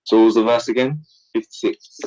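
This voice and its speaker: A man's voice: mid-range voice, fairly quick, mellow, no room sound, highs cut off. A man calling in to an online debate. He speaks hesitantly, sounds doubtful and often trails off. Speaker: caller. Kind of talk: casual call-in conversation.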